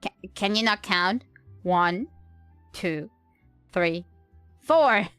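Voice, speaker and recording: A woman's voice: medium pitch, animated, very slow, fairly bright tone, clean tone, no room sound, faint music behind. Dry-sounding woman streamer, casual commentary. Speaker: game livestreamer. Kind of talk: casual running commentary on a video game.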